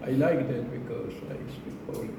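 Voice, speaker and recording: A man giving a prepared speech to an audience in a slow, deliberate manner, repeating phrases for emphasis. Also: medium pitch. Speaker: club speaker giving a speech. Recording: echoey room, distant mic, noisy.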